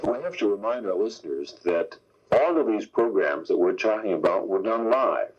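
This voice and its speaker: A man's voice: lowish voice, lively intonation, moderate pace, slightly rough. An American man hosting a radio program, presenting and interviewing. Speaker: radio show host and interviewer. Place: radio broadcast. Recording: slightly muffled FM radio tape.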